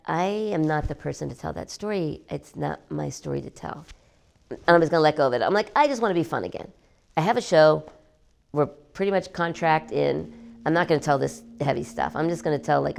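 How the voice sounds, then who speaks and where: Low gravelly-voiced woman artist. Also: a little fast. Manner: animated, emphatic, repeats words for effect. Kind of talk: gallery interview.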